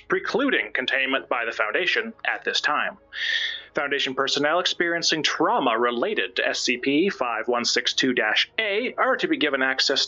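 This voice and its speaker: Bright-voiced wry man, lo-fi audio. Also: medium pitch. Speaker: guest writer reading own fiction. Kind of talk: casual joking chat and document reading. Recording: dull lo-fi stream audio.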